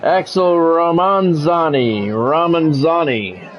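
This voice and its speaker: Male game streamer, lightly higher voice, polished clipped play-by-play with casual asides, music in the background. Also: slow, slight background noise. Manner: practised radio-style play-by-play, clipped phrases. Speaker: streamer doing play-by-play of a football video game. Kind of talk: sports commentary mixed with casual chat.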